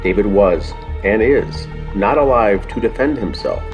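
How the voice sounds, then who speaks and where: Deep-ish guy announcer, old time radio style, serious measured read, music behind him. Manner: solemn, measured phrasing.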